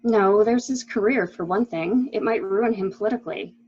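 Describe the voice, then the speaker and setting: A woman plays a secretive wife in a murder mystery, shielding her lover. Her mid-pitched voice is fretful and dramatic. The recording is slightly dull and lo-fi. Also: moderate pace, a little mellow, natural voice. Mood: guarded.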